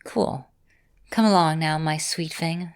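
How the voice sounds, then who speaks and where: A woman playing an affectionate vampire mistress in an ASMR roleplay, speaking in a gentle, reassuring and softly teasing manner. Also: low voice, slow pace, clear, resonant tone. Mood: tender, reassuring. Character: affectionate centuries-old female vampire mistress, encouraging mentor.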